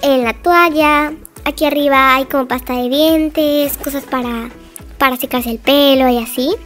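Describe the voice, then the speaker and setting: Lady, high voice, chatty gushy house tour narration, faint music behind. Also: fairly even intonation, measured pace, a bit bright. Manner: chatty, gushing, many "como" fillers. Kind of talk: casual voice-over narration of a game house tour.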